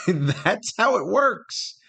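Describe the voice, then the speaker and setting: A man's voice: high-pitched, very animated, very slow, creaky, a bit bright, breathy edge. American man, rambling casual podcast talk. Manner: rambling, conversational, acts out imagined remarks. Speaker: podcast host and comedian. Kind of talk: casual solo podcast monologue.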